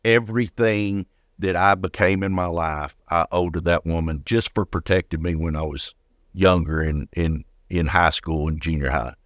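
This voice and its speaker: A man's voice: sits low, steady tone, normal speed, no echo, clean studio sound. An older man speaking as an interview guest, telling personal stories casually and often repeating words as he goes. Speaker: guest, retired firefighter.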